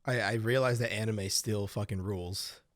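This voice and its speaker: A man's voice: fairly low pitch, fairly even intonation, normal speed, a bit bright, no echo, studio quality. A young man co-hosting a podcast, chatting casually with lots of fillers and swearing as he rambles. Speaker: podcast co-host. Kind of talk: casual chat.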